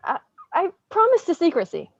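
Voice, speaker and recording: A woman playing a reserved young woman, hesitant and gently teasing, in staged dramatic dialogue. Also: very high voice, huge pitch swings, moderate pace, vocal fry, no echo, lo-fi sound, exaggerated voice. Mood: guarded, reluctant.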